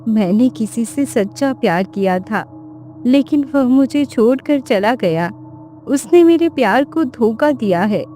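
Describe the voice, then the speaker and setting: A woman's voice: a little high, animated, a little slow, warm tone, a little hiss or hum. A woman voicing a heartbroken, guarded young princess in a fairy tale, plaintive and dramatic.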